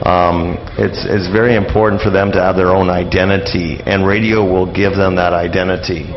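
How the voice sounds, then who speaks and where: Halting older man, creaky, dull lo-fi audio. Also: low voice, little pitch movement, a crowd in the background. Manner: halting, hesitant, rambling. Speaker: radio show presenter, interview guest. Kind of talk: casual radio interview. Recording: dull lo-fi radio interview audio.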